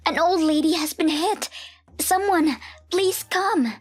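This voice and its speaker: A woman acts out a young schoolchild in a manga dub, distressed and calling out to grown-ups. The voice is raised high and pushed loud, with music behind it. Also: takes it slowly. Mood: frantic, alarmed.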